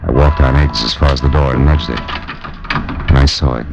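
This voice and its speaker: An actor playing a tough, world-weary American private detective in 1940s radio noir, wry and clipped. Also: male voice, extremely low pitch, steady tone, a little fast, creaky. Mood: brooding, wry. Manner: hardboiled, wry, clipped delivery. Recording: old radio drama with music behind.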